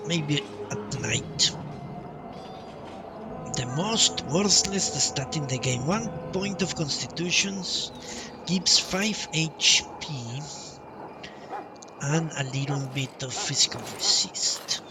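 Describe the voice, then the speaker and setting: A man gives casual game commentary at a slow pace, often repeating words as he thinks aloud. His pitch is average, and he sounds a bit away from the mic with game music clearly playing. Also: expressive, recorded from a distance. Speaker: game streamer. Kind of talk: casual live playthrough commentary. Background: video game music.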